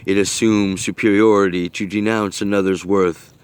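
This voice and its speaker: A man reading his own rhyming poem aloud in an earnest, deliberate and rhythmic delivery. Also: on the low side, little pitch movement, smooth. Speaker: poet reading own work. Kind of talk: recited rhyming poetry reading.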